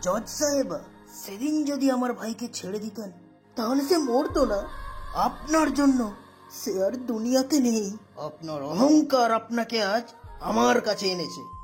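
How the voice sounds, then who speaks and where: A woman's voice: fairly high pitch, lively intonation, unhurried, darkish tone, faint music behind. A woman voicing an arrogant, vengeful male gang leader in an audio story, taunting and menacing.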